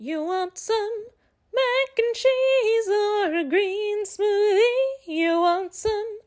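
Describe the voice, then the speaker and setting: A woman's voice: very high-pitched, extremely slow, a little mellow, soft background music. A woman singing a playful a cappella song as a student musician.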